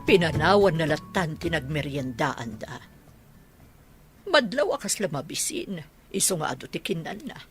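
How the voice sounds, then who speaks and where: Woman as older motherly caretaker, Ilocano radio drama. Lower register, very expressive intonation, music underneath. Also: normal speed. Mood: grumbling. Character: older motherly female house caretaker, deferential but privately grumbling.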